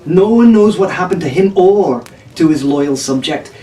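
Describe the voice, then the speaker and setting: A man's voice: on the high side, lively intonation, normal speed, dark tone, far from the mic, pitched above the performer's own voice, lightly acted. A man voicing a knowledgeable, strong-willed teenage tavern girl in a storybook, telling pirate lore in an earnest, firm way. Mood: earnest, ominous. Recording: echoey room from off-mic, faint noise.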